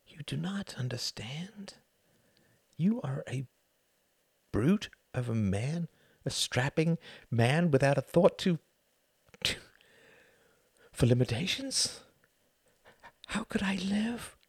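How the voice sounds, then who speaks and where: A man's voice: sing-song, slow pace, long pauses, dry recording, studio quality, full character voice. A man voicing a whispering, bitter frail mother. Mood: hushed bitter. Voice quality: breathy whisper, strained high voice. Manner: hushed, bitter, pleading. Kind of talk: audiobook character voice.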